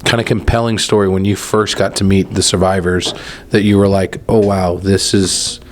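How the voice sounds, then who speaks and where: A man's voice: low-pitched, flat delivery, normal speed, a little hiss or hum. A man interviewing a filmmaker, asking long, loosely phrased questions.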